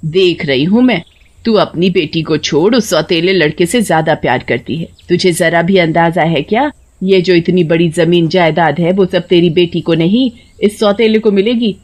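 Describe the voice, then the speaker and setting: A woman's voice: lowish voice, moderate pace, a little mellow, close to an everyday voice. A woman voicing a scheming, spiteful grandmother in a cartoon story, with a dramatic, scolding manner. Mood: disapproving, calculating. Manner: dramatic, scolding, wailing when feigning hurt.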